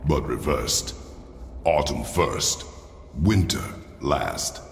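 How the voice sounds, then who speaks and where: A stern, battle-hardened warrior father in a video game. His voice is gravelly and extremely low, with terse commands that swing in pitch. It rings in a reverberant chamber. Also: expressive, very slow, slight background noise, exaggerated voice. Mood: brooding, deliberate. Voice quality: very deep, gravelly. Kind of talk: cinematic video game acting. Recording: roomy reverberant stone chamber, game ambience.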